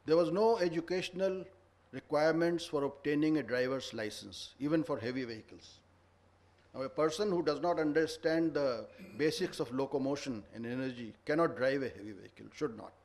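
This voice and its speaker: A man speaks in a fairly high voice to a conference audience. His delivery is earnest and lecturing, with strong stress on each point he makes. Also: a little mellow. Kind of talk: formal address. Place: conference hall.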